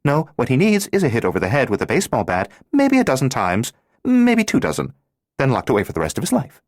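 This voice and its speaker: A sharp-tongued twelve-year-old girl, voiced by a man reading the story, blunt and theatrical in every line. Her voice jumps high above his own and swings wildly up and down. The sound is close and dry. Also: wildly swooping pitch, fast, dry recording. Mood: scornful. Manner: loud, emphatic, mocking.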